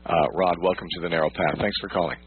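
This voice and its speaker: A man with a low, husky voice hosts a live Bible call-in show on radio. He explains things in a measured way. The broadcast sounds dull and lo-fi, with a faint hum beneath him. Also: fairly even intonation. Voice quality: husky morning voice. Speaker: radio host. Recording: muffled lo-fi radio, humming noise.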